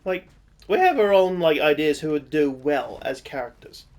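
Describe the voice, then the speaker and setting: A man's voice: on the high side, normal speed. A man with a British accent that slips into American sounds, chatting casually and chuckling often while a friend plays a game. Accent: British, with some American vowels. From Britain. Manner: relaxed, chuckles often, many "like" fillers. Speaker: co-host. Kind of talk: casual chat over gameplay.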